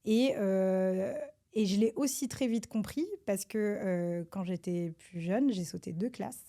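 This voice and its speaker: A woman from France, a government advisor, answers interview questions in a hesitant, halting way with many filler sounds and restarts. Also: mid-range voice, mellow. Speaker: government advisor, podcast guest.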